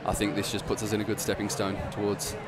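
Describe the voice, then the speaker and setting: A young Australian man, a footballer giving low-key post-match answers on a headset mic. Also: monotone, fast talker, a loud crowd. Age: young adult. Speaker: footballer guest. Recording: noisy room behind.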